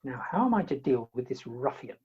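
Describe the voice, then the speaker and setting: A woman playing an indignant, haughty, bewildered gentleman in an amateur comic radio play over a muffled video call. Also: extremely low pitch, sing-song, brisk, husky, heavily acted. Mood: indignant, puzzled.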